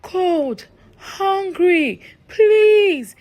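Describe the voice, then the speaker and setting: Woman acting a high-pitched wicked little creature, pleading. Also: lively intonation, drawn-out words, a little mellow, heavily acted. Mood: pleading, eerie. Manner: whispering, wailing pleas. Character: small wicked bush baby creature, pleading and luring.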